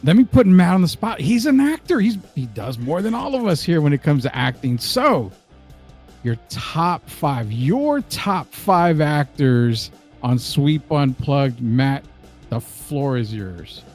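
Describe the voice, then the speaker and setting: A man's voice: on the high side, big pitch swings, slow, darkish tone, soft background music. A man hosting an entertainment show, chatting informally with a guest. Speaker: host of an entertainment and sports show. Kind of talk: casual interview chat.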